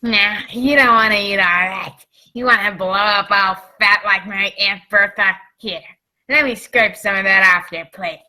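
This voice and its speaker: A woman's voice: mid-range voice, fairly even intonation, unhurried, bright, forceful tone, low-quality recording. A woman playing a pushy diner worker in a comic radio sketch, bossy and blunt.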